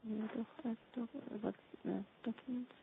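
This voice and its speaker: A woman's voice: on the high side, fairly even intonation, moderate pace, noisy background. A woman chatting casually on a work call, hesitant and often trailing off mid-thought. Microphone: phone or conference call. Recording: muffled narrow phone-like call.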